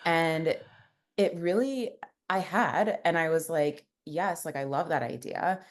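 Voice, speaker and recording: A woman's voice: low-pitched, slow pace. A young woman, a founder, telling her story in a casual interview, rambling with many fillers. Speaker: founder and guest.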